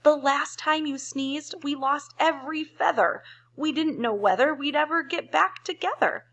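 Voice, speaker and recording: A woman's voice: high voice, normal speed, fairly bright tone, voice pushed up, low-quality recording. A woman voicing a flustered storybook bird, squawky, urgent and sing-song as it pleads. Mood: flustered pleading. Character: shrill, flustered storybook parrot and birds.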